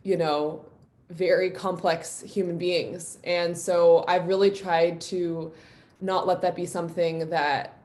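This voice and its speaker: A woman's voice: lowish voice, level tone, slow pace, a little mellow. A woman from New York City, a guest musician, talking in a reflective, personal way with plenty of ums and likes. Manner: reflective, openly personal, many fillers. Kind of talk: casual live-stream interview.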